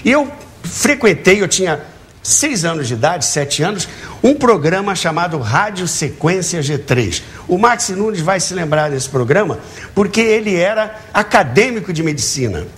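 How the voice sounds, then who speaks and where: An older man from Rio, in his seventies, speaks as a guest in an interview. His voice sits a bit high and swings widely in pitch. He tells his anecdotes eagerly, repeating words and posing rhetorical questions. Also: sing-song, normal speed, fairly bright tone. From Brazil, Rio de Janeiro. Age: early 70s. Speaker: talk show guest, author of a book on radio theatre. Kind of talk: animated anecdotal storytelling in an interview. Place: TV talk show studio with audience.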